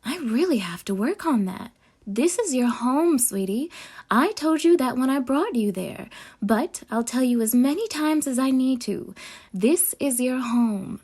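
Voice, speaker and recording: A woman playing a sweetly possessive, obsessed girlfriend in an intimate roleplay audio, coaxing and dramatic with breathy asides. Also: high-pitched, a little mellow, heavily acted. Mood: insistent, doting. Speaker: ASMR voice actor.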